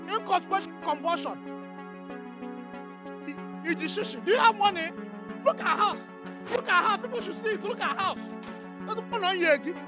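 Very high-pitched, mocking Nigerian house agent. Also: male voice. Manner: taunting, repetitive, threatening.

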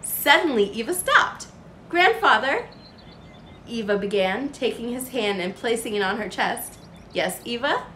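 A young woman narrates a children's picture book with very animated intonation. Her voice sits fairly high. The sound is roomy, with faint noise behind her. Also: moderate pace, few pauses. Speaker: children's storytime host. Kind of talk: expressive picture-book read-aloud.

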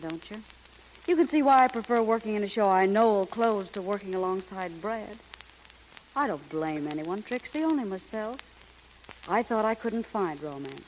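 A woman's voice: mid-range voice, animated, moderate pace, natural voice. An actress playing a proud, wounded, stubborn showgirl in love, earnest and dramatic in 1930s radio melodrama style. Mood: anguished confession. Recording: faintly noisy 1930s radio broadcast.

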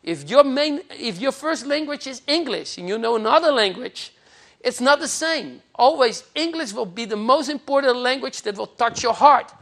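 A man's voice: extremely high pitch, lively intonation, moderate pace, a bit bright. A man in his forties from the Netherlands, speaking with a Dutch accent as he preaches an earnest, emphatic sermon in a TV studio on a lapel mic. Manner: earnest and emphatic, gesturing with both hands. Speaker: pastor. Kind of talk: televised sermon teaching. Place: TV studio set.

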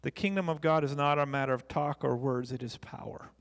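A man's voice: medium pitch, a little fast, gravelly. A middle-aged American man preaching a sermon as a pastor, speaking into a handheld microphone on a church stage. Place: church stage, large indoor room. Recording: long echoey hall reverb.

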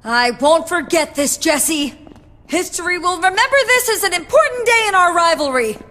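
Haughty high-voiced young rival. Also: female voice, expressive, moderate pace, bright, forceful tone, long reverb tail, full character voice. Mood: spiteful, pompous. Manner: pompous, melodramatic. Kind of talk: animated video game voice acting.

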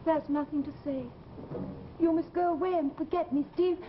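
A woman's voice: very high-pitched, steady tone, moderate pace. An actress playing a frightened, fragile young woman in early television melodrama. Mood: fearful, distressed. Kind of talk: 1950s live television melodrama. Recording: muffled, noisy old television soundtrack.

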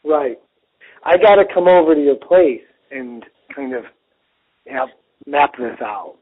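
American dude, husky and a bit high, easygoing agreeable chat, muffled lo-fi call. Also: male voice, animated, takes it slowly. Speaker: podcast co-host. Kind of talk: casual phone chat between friends. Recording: muffled lo-fi phone-call audio.

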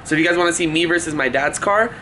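A man's voice: on the high side, fast, bright, forceful tone. A young adult American man chatting casually as a vlogger, with youthful, slangy talk. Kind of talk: casual vlog chat. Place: inside a family house. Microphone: handheld camera at arm's length. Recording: clear background noise, handheld vlog camera.

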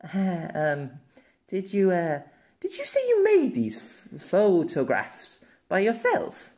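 A man voicing an earnest, excitable young woman with formal, old-fashioned phrasing, in an audiobook. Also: high voice, slow pace, pitched above the performer's own voice, heavily acted. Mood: eager.

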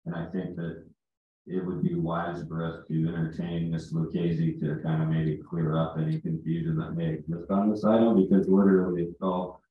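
A man explaining things formally as a city manager, measured and diplomatic with some hesitation. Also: sits low, flat delivery. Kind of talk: formal explanation at a public meeting. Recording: muffled phone-like sound, heavy room echo.

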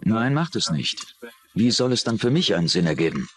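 A man's voice: on the low side, big pitch swings, normal speed. A man dubbing an insistent police interrogator pressing a suspect in a documentary. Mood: pressing, sceptical. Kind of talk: dubbed documentary voice-over.

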